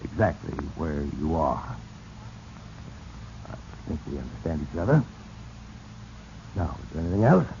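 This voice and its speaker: An actor playing a smug company boss, condescending and quietly threatening, in a 1940s radio drama. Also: male voice, a bass voice, sing-song, vocal fry, full character voice. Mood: patronizing, firm. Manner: condescending, measured. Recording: muffled, noisy 1940s radio recording.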